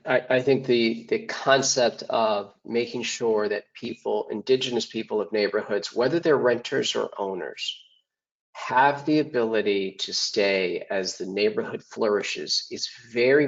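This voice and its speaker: A man moderating a webinar panel, talking in a halting way and repeating words. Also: fairly low pitch, gravelly. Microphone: video call. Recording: dull lo-fi webinar audio.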